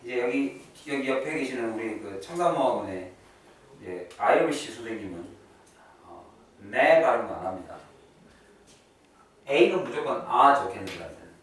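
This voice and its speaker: Man, English instructor, grammar lecture, lively intonation, repetitive emphasis, self-answered questions, very reverberant room. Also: medium pitch, normal speed. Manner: emphatic, repeats key terms, asks and answers own questions. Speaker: English teacher at an academy. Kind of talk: classroom-style grammar lecture. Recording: echoey room, distant mic, faint noise.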